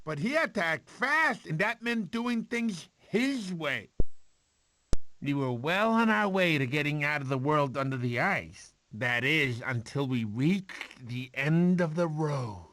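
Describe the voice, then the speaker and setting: Goofy, doting sloth with swooping pitch. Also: male voice, lively intonation, slow pace, bright, forceful tone, slight background noise, exaggerated voice. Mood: dramatic storytelling. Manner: rambling, fussy, doting storyteller. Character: goofy, sentimental male sloth uncle telling baby a story. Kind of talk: animated film character narration.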